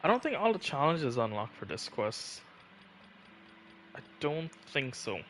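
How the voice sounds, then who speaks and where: A man's voice: mid-range voice, sing-song, unhurried, fairly bright tone, slight background noise. A man livestreaming a video game, giving casual commentary.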